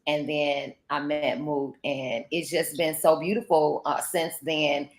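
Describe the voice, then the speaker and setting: A woman in her forties with a low voice talks about her life in an enthusiastic, casual way. She is a life coach and teacher on a video call. Her voice carries a roomy echo. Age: 40s. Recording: echoey room.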